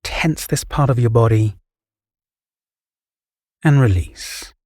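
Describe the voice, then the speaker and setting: A man guiding a relaxation meditation, giving calm, soothing, measured instructions. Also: medium pitch, no room sound, studio quality. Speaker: meditation guide. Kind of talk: guided relaxation meditation, scripted narration.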